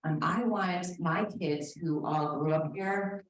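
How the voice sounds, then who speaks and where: A woman's voice: on the low side, slow pace. A woman candidate reading prepared remarks from notes, with occasional fillers. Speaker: election candidate. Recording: echoey room, distant mic.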